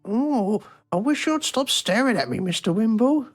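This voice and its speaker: A woman's voice: fairly high pitch, expressive, moderate pace, faint music behind. A woman voicing a controlling mother of a grown son in a game, curt and guarded. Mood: irritated, defensive. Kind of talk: scripted indie game dialogue.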